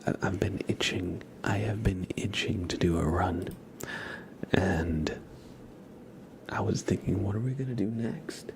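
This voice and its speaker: A man hosting a casual ASMR livestream, speaking in a soft, hushed, whispery murmur close to the microphone. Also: low-pitched, a little slow, noisy background.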